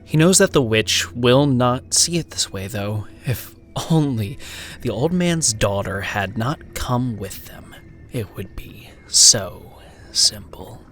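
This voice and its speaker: A man narrating a horror story in a dramatic, deliberate and suspenseful way, sometimes hushed. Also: medium pitch, lively intonation. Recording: music behind the voice. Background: music.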